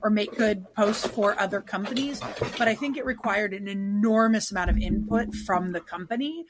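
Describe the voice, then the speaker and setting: A woman's voice: mid-range voice, normal speed. Woman co-host on a podcast, thoughtful, lots of um and restarts, bit of faint noise behind. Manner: thoughtful and searching, with hesitant fillers and restarts. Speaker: bakery owner, podcast co-host. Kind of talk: casual podcast conversation.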